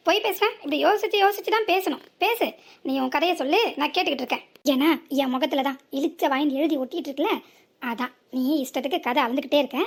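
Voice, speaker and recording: A suspicious, scornful driver, voiced by a woman in a high voice that rattles off accusations at speed. He sounds loud and sarcastic throughout this cartoon scene. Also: natural voice. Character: suspicious, scolding male car driver. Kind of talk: animated story dubbing.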